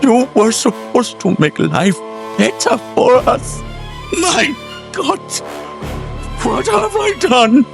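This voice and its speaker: A man's voice: very high voice, sing-song, slow pace, gravelly, music in the background, pitched above the performer's own voice, exaggerated voice. A man playing a desperate, imploring Victorian inventor in a dramatic horror story, pleading with his creation. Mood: horrified.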